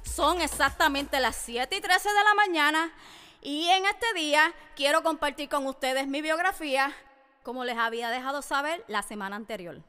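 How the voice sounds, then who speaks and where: A woman's voice: sits high, moderate pace, sharp tone, faint music behind. A woman in her thirties with a Puerto Rican accent, hosting a personal podcast monologue and addressing listeners directly and emphatically. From Puerto Rico. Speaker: podcast host. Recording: long reverberant hall echo.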